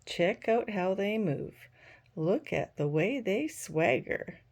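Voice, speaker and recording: Older female storyteller, grandmotherly reader for young children. Unhurried and soft-edged, expressive, lingers on key words. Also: medium pitch. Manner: slow, deliberate, drawing out key words. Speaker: grandmother reading stories aloud for children. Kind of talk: expressive picture-book storytelling.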